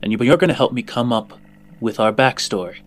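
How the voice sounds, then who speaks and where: A man's voice: mid-range voice, lively intonation, fast talker, natural voice. Man acting a condescending, theatrical hacker accomplice. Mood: brisk, sly. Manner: theatrical, condescending, addresses listener as dear. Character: fussy, exacting male hacker and disguise accomplice.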